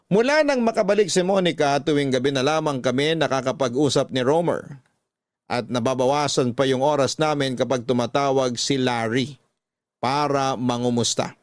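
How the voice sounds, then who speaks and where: A man's voice: medium pitch, no room sound, clean studio sound, natural voice. A man with a Filipino accent reading a first-person love confession as dramatic radio narration. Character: letter writer recounting a secret love affair. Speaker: storyteller reading a listener's letter.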